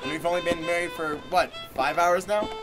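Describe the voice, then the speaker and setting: A man's voice: a little high, fairly even intonation, brisk, lightly acted. A man playing a practical, reassuring young newlywed husband in a radio horror play. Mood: easygoing. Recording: music under the voice.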